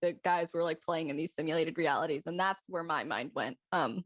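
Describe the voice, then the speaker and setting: A woman's voice: deep voice, fairly quick, dry recording, clean studio sound. A woman voice actor talking casually in a group discussion with fellow cast members. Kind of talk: casual chat.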